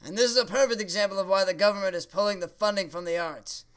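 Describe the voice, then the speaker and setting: A man's voice: high-pitched, quick pace, smooth, close to an everyday voice. A man voicing a jaded creative writing professor in a puppet comedy, blunt, scornful and full of exasperated sarcasm. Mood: smug, sarcastic. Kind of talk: puppet show comedy acting.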